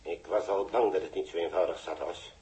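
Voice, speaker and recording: A man acting a grave, authoritative chief engineer with a Dutch accent, speaking deliberately in a 1960s radio drama. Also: sits low, dark tone, natural voice. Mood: grave, controlled. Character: grave, measured male chief engineer at mission base.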